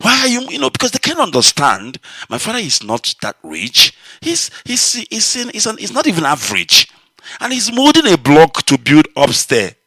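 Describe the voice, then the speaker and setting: A man, an Igbo speaker, telling a personal story on a live broadcast in an animated, emotional way. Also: normal speed, husky, dry recording. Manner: animated, emotional, swinging high when excited. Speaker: radio broadcast host.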